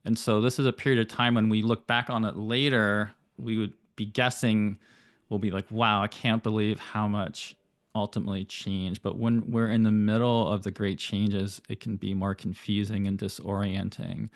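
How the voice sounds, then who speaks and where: Man, low voice, dry close recording, astrologer guest, thoughtful explainer. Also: little pitch movement, dry recording. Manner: thoughtful, flowing explanations with rapid bursts. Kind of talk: explanatory interview talk.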